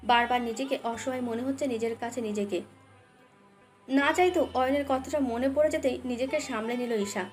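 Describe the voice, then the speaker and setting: High-pitched woman narrating a romance story. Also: normal speed. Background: background music.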